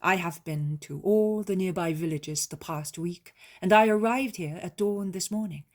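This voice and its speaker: A woman voicing a gentle, solemn male teacher who speaks calmly and slowly. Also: lowish voice, close to an everyday voice. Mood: kind, tired. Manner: calm, slow, solemn.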